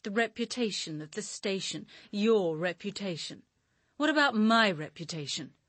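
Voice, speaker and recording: An actress playing a proud, unyielding administrator in a radio drama, scornful and insistent. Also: female voice, medium pitch, expressive, normal speed, fairly bright tone, no echo. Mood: bitter.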